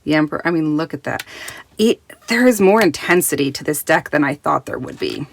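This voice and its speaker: A woman reviewing a tarot deck in casual, rambling chat full of restarts, recorded in a quiet closet at home, her voice still recovering from being lost. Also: low voice, animated, fairly quick, vocal fry. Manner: chatty, rambling with many restarts and fillers.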